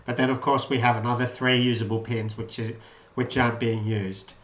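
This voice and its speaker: A man's voice: mid-range voice, little pitch movement, fairly quick. A man giving an informal, unscripted tutorial walkthrough of an electronics project, explaining step by step and rephrasing as he goes. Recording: roomy, echoey voice pickup.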